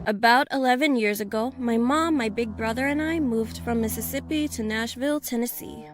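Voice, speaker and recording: A woman in her twenties reading a first-person horror story in a calm, even way. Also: on the high side, moderate pace. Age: 20s. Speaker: narrator.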